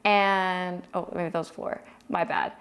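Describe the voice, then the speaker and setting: A young woman from Hawaii in casual panel chat, relaxed, with many fillers. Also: fairly low pitch, slow. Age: young adult. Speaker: student panelist.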